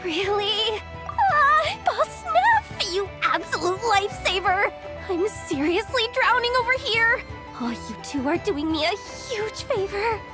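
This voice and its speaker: An actress playing a frazzled, overwhelmed young event coordinator in an anime-style video game, flustered and chatty. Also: female voice, extremely high pitch, sing-song, a little slow, exaggerated voice. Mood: frazzled relief. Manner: flustered, chatty, self-doubting. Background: background music.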